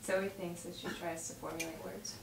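Lowish young woman reading stage directions. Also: flat delivery, moderate pace. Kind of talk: scripted narration at a table read. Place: home dining room, around a table. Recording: roomy, distant mic, clear noise.

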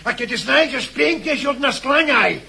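Gravelly, mocking rebel soldier. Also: male voice, very high-pitched, animated, moderate pace, pushed and bright, exaggerated voice. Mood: contemptuous. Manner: sarcastic, biting. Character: mocking, contemptuous mutinous soldier. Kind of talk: radio drama acting, archaic speech.

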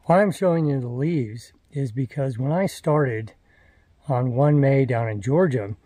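Slow-talking man in his sixties. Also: mid-range voice, dark tone, breathy edge, no room sound. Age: 60s. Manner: unhurried, rambling, frequent fillers and restarts. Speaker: thru-hiker vlogger. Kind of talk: casual trail vlog. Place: forest trail outdoors; wooden hostel room indoors. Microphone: phone or camera held at arm's length.

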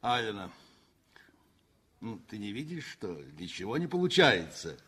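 A crude hearty train driver. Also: male voice, on the low side, big pitch swings, unhurried, slightly rough, exaggerated voice. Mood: boisterous. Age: 40s. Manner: loud, boisterous, teasing. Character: hearty forty-year-old train driver, crude joker. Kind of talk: 1960s radio play acting.

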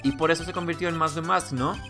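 A man's voice: on the high side, steady tone, quick pace, music in the background, pitched below the performer's own voice, natural voice. A man voicing an earnest, reassuring young defense lawyer in a game. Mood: calm.

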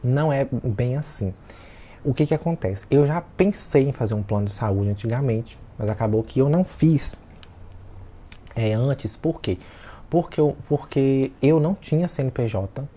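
A man's voice: mid-range voice, expressive, normal speed, dark tone. A man in his late twenties chatting casually to his viewers, talking straight to them and keeping them involved throughout. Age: 20s. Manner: chatty, keeps addressing viewers as "gente". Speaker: vlogger. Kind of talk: casual chat to camera.

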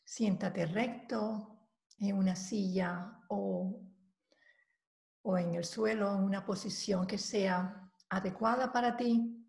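A woman leading a guided meditation, giving calm, measured instructions with long pauses between phrases. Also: medium pitch, creaky. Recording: roomy, echoing voice recording.

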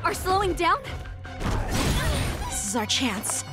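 Cocky young sword fighter, super high and swoopy, loud music. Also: female voice, very animated, takes it slowly, heavily acted. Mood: bold, excited. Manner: bold, exclamatory. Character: feisty, boastful young swordswoman. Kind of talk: game battle voice acting.

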